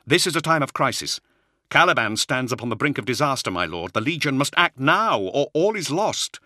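A man's voice: mid-range voice, very animated, normal speed, bright, forceful tone, dry recording, studio quality, pitched above the performer's own voice. A man voicing an earnest warrior-librarian in an audiobook, speaking with urgent, respectful intensity. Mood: urgent, angry. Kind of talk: audiobook character acting.

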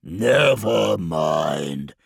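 A man voicing a huge, gloomy, irritable demon lord in a fantasy audiobook, with a gravelly, booming, grumbling delivery. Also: very animated, extremely slow, no room sound, studio quality, exaggerated voice. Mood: weary grumbling. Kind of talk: dramatic fantasy audiobook character voice.

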